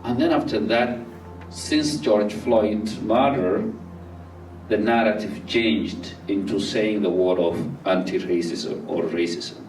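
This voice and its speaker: A man from Somalia giving a public talk in a slow, halting way, with many fillers and restarts. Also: on the low side, darkish tone, far from the mic. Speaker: speaker at a community talk. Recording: roomy, off-mic, faint music behind.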